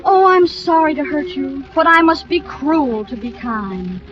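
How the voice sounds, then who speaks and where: Casting: lovesick teenage girl, high swooping voice, gushing and melodramatic, old-time radio comedy, muffled recording. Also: big pitch swings, measured pace, music in the background. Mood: melodramatic regret. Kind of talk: 1940s radio comedy acting.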